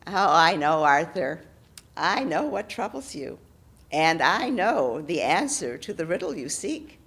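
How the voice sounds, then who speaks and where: A woman's voice: deep voice, sing-song, a bit bright, pitched below the performer's own voice, heavily acted. Sly old hag, as a woman storyteller puts her on. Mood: sly, teasing. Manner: coaxing, drawn-out teasing lines. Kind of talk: oral storytelling.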